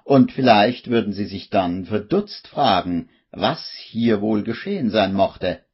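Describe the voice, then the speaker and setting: Low, unhurried male radio storyteller. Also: expressive, slightly rough. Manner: suspenseful, theatrical. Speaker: narrator. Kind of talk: dramatic scripted storytelling, 1950s radio play. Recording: muffled vintage radio recording, music behind.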